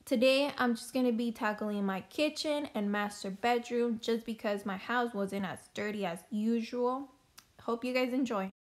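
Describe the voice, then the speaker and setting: A woman's voice: on the high side, moderate pace, a little hiss or hum. A woman who is a mother, narrating her cleaning video in a casual, friendly voice-over. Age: adult, a mother. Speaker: cleaning vlogger.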